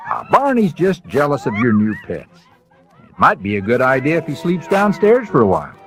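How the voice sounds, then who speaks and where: A man's voice: medium pitch, huge pitch swings, moderate pace, loud music behind the voice. An actor playing a wry, mildly exasperated middle-aged father in a storybook audio drama. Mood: reassuring.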